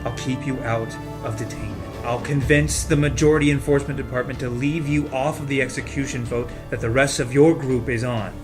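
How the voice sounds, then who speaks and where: A man playing a soft-spoken double agent posing as a caring friend, in a dramatic script reading. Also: medium pitch, fairly even intonation, fairly quick, few pauses, music in the background, natural voice. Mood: coldly coaxing. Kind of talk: dramatic script table read.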